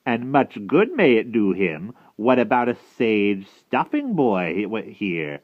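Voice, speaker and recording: A man's voice: lowish voice, very animated, slow, no echo, narrow, tinny audio, voice pushed up, exaggerated voice. A man voicing a cruel storybook witch, an older woman who gloats and coos with theatrical menace. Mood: scolding. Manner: theatrical cooing, gleefully menacing.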